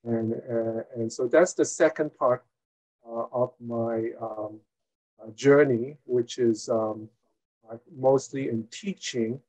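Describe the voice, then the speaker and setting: Man, 60s, interview guest, slow pace, mid pitch, measured, hesitant pauses. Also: steady tone, darkish tone, rough recording. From Hong Kong. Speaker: guest, former mayor and retired college teacher. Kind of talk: interview answer, recounting his career.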